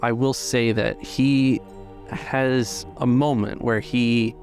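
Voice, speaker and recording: A man working as a game master, narrating a tabletop roleplaying game in a measured, descriptive way. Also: mid-range voice, steady tone, a little mellow, faint music behind. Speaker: game master and podcast host.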